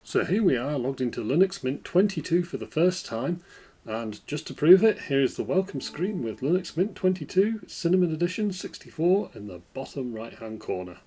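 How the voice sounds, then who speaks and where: A man hosting a screen-recorded software walkthrough, explaining in a relaxed way. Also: on the high side, moderate pace, breathy edge. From United Kingdom. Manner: relaxed explanatory, lively intonation. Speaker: tech video host.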